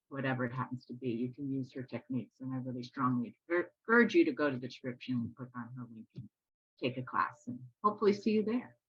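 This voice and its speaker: A woman co-hosting a casual discussion, talking in an animated way. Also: extremely low pitch, slightly rough, no room sound. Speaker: co-host. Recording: dull, dry, lo-fi sound.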